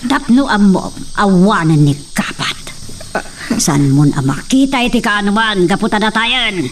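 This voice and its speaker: A woman's voice: mid-range voice, animated, a little slow, natural voice. A woman playing a domineering, threatening rich lady of a hacienda in an audio soap drama. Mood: stern, suspicious. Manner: imperious, threatening. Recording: distant mic, faint background noise.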